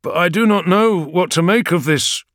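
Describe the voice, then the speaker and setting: A man's voice: high voice, normal speed, fairly bright tone, no room sound, clean studio sound, voice pushed up. A man voicing a loyal male veteran warrior who speaks bluntly and earnestly. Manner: earnest, questioning.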